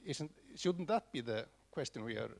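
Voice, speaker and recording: Halting man with a gravelly voice. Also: medium pitch, expressive. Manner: halting, many restarts and fillers. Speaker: conference audience member. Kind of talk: spontaneous comment from the floor.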